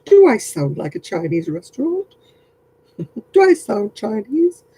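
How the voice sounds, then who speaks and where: A man's voice: high voice, wildly swooping pitch, measured pace, mellow, slightly rough. A man chatting casually with viewers while crocheting on a livestream, self-mocking and laughing often. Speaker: craft livestream host.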